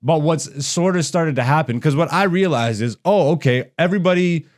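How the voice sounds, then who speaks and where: A man's voice: fairly high pitch, moderate pace. A man from Canada, a stand-up comedian hosting a podcast, talks in a casual, blunt, slangy rant full of swearing. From raised in Canada.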